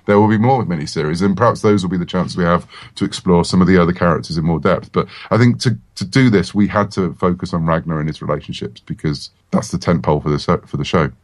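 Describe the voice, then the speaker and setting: A man's voice: sits low, fast, few pauses. A man being interviewed in a casual chat, talking in an enthusiastic, rambling way. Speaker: interview guest, comic writer.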